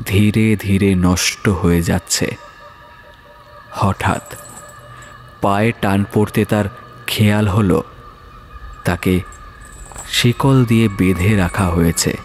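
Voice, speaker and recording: A man narrating a scripted horror story in a measured, dramatic way, pausing between phrases. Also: lowish voice, darkish tone. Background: music.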